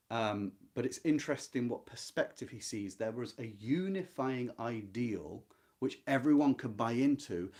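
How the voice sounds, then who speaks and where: A man with a mid-pitched voice gives a literary talk. His intonation moves widely up and down as he stresses key phrases. He addresses his viewers deliberately, like a host guiding them through a book. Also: a little mellow. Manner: emphatic, deliberate, repeats key points. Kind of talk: literary lecture, explaining a novel to viewers.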